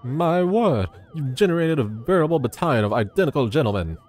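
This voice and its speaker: Man putting on a pompous, booming gentleman inspector. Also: sing-song, fairly quick, faint music behind. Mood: exuberant. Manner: florid, theatrical old-fashioned diction. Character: pompous, excitable gentleman inspector, grandly courteous and naive.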